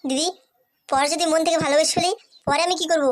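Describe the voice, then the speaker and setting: Squeaky, fast cartoon younger sister, a woman acting. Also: steady tone, close to an everyday voice. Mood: coy, hesitant. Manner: hesitant, coy. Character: coy, unsure lovestruck younger sister.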